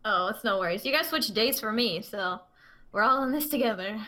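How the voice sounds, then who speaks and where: A woman co-hosting a podcast, chatting casually, self-mocking, with quick reactions. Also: a little high, animated, sharp tone. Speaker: podcast co-host. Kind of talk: casual chat.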